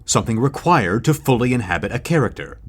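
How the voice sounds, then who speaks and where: An actor playing a pompous, easily offended thespian with a gravelly voice in a comedic audio drama. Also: male voice, mid-range voice, lively intonation, quick pace. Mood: haughty, offended. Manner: pompous, haughty.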